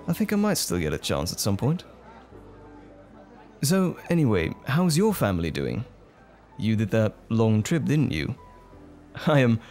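Man acting as a slow-spoken, bashful romantic date. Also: medium pitch, a little mellow. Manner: tender, hesitant, lightly chuckling flirtation. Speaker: voice actor playing a date. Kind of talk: romantic ASMR roleplay acting. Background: music.

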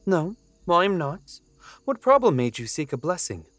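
A man's voice: on the high side, very animated, measured pace, a bit bright, no room sound, soft background music. A man playing a fussy, confident god of order in a fantasy audio drama, pedantic and precise. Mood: brisk, helpful.

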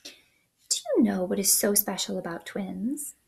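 A woman in her thirties hosting a children's story time, reading aloud in an expressive, sing-song way at home. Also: mid-range voice, a little slow, creaky. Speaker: children's librarian, storytime host. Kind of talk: picture book read-aloud for young children. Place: small living room indoors.

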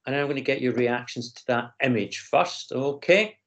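A man's voice: mid-range voice, normal speed, a bit bright. A man teaching an online class, leading a discussion with many ums and restarts. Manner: hesitant, frequent ums and restarts. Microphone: video call. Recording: slightly dull video call audio.

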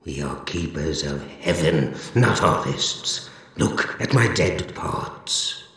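Cavernous, extremely deep gravelly monster zealot. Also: male voice, expressive, fairly bright tone, heavily acted. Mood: reproachful, grave. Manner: pompous, accusing, preaching in archaic, measured phrases. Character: huge deformed zealous monster keeper of heaven, stern and menacing. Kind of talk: video game character voice lines, solemn dramatic monologue. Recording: cavernous echo with long reverb tail.

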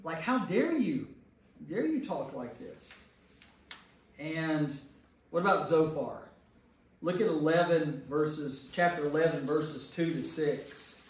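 A man with a fairly high voice leads a Bible study as a priest, speaking to a group. He is emphatic and often repeats a phrase to drive it home. The room is very echoey, and the microphone sits some way off with faint noise. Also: animated, takes it slowly, far from the mic.

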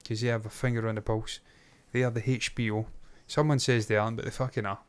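A man's voice: mid-range voice, level tone, fast talker, clean tone. A middle-aged Scottish man chatting casually as co-host of a review show, blunt and sweary, close on a microphone. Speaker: YouTube reaction show co-host. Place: small indoor home studio. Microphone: close microphone on boom arm.

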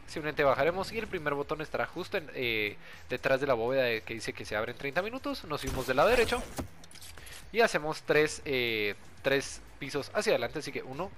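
A man's voice: medium pitch, fairly bright tone, slight background noise. A young man giving a casual, friendly gameplay tutorial, talking directly to his viewers in an informal way. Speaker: gaming video creator and host.

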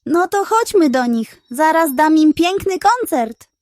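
A woman acts out a gullible storybook hen with a very high voice. She sounds vain and wide-eyed, proud of herself and eager, in a dry, close recording. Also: animated, a little slow, darkish tone, no echo. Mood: excited. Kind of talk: children's storybook character voice.

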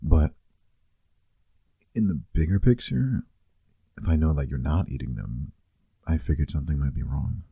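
Male actor as teasing, loving boyfriend for ASMR roleplay; extremely dark, mellow, husky voice, close-miked. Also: rumbling low, lively intonation, normal speed, pauses often, dry recording, full character voice. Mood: affectionate, teasing. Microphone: close microphone.

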